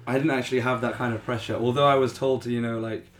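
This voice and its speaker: A man's voice: medium pitch, steady tone, fast talker, roomy sound. A man, a medical student chatting casually and easygoing on a podcast. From England. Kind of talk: casual chat.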